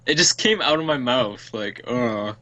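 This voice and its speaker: A man's voice: medium pitch, lively intonation, measured pace, bright, forceful tone. A young man streaming a strategy game, chatting casually and rambling with the odd swear. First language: English. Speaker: let's play streamer. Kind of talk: casual gaming chat.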